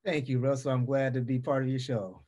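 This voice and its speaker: A man's voice: mid-range voice, level tone, brisk, darkish tone. A middle-aged man from the US West Coast with a Southern drawl, telling his personal story as an interview guest. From Portland, Oregon, United States. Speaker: podcast guest.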